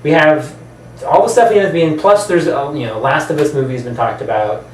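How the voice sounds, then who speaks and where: A man's voice: a little high, a little fast. A man hosting a casual movie chat, recorded in a roomy space at some distance from the mic. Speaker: podcast host. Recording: roomy, distant mic, faint background noise.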